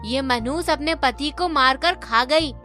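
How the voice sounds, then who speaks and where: A woman voicing a spiteful villager with a sharp, scolding manner in a cartoon horror story. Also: high-pitched, a little slow, soft background music, voice pushed up. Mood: scornful, warning. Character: spiteful, scolding villager shunning a cursed widow.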